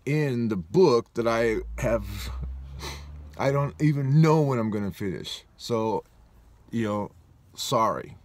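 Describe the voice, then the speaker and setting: A man's voice: mid-range voice, a little mellow, no echo. A man in his forties from Southern California, telling a personal story in a rambling, reflective way and often correcting himself. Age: 40s. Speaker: vlogger.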